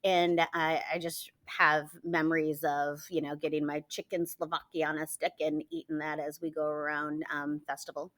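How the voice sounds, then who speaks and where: A woman's voice: sits low, level tone, normal speed, few pauses, fairly bright tone. A woman in her forties from Michigan, a teacher chatting casually as an interview guest, with lots of fillers and frequent laughter. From Michigan, USA. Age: 40s.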